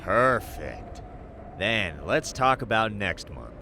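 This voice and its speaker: An actor playing a commanding, shrewd gang boss in an audio drama, giving orders gruffly. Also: male voice, fairly low pitch, very animated, slow, bright, forceful tone, a little hiss or hum, heavily acted. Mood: brisk, gloating.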